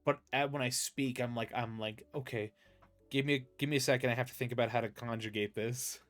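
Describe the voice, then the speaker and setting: A young American man hosting a casual interview, rambling with lots of restarts and getting animated when he tells a story. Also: medium pitch, a little fast, faint music behind. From United States. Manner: rambling, many fillers and restarts, animated when telling stories. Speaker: podcast host.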